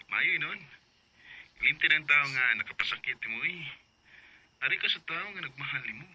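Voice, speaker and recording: An actor with a Cebuano accent playing a tender, coaxing young suitor in a radio drama. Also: male voice, on the high side, expressive, normal speed, pushed and bright, husky, exaggerated voice, poor audio.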